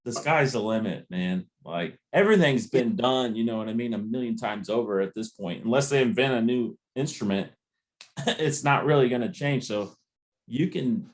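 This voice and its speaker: Mid-pitched man, rambling casual chat. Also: moderate pace, slight background noise. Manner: laid-back, rambling, slangy with swearing. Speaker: podcast host.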